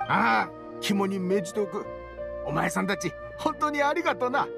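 An actor playing a rough-spoken, hearty and grateful merchant with a gravelly voice in a Japanese anime game. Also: male voice, sits high, animated, normal speed, over music. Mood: earnest.

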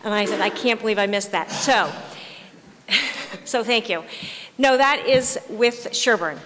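A woman's voice: mid-range voice, measured pace, fairly bright tone. Friendly woman at a podium in a hall. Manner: friendly and self-deprecating. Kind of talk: presentation at a town hearing. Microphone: podium microphone. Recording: reverberant hall with faint room noise.

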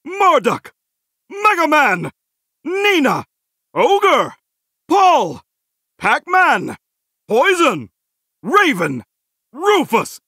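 A man's voice: extremely high pitch, wildly swooping pitch, extremely slow, pauses often, sharp tone, dry recording, clean studio sound, exaggerated voice. A male actor voicing a goofy, earnest middle-aged superhero in a fighting video game, with cheesy, exclamatory heroic delivery. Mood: calling out names. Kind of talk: video game battle barks.

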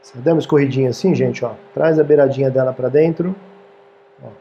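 A man's voice: medium pitch, fast, mellow, lo-fi sound, slight background noise. A man in his thirties hosting a casual cooking demonstration in a small kitchen, chatty and friendly, often checking in with viewers. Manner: friendly, chatty, keeps asking "tá, gente?". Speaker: cooking show host. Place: small home-style studio kitchen, indoors.

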